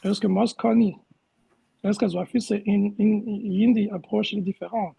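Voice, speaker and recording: A man's voice: sits high, steady tone, measured pace, gravelly, mellow, husky, low-quality recording. A man asking the candidate questions in a virtual meeting, talking hesitantly and mixing languages. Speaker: community member asking questions. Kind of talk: casual chat. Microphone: video call.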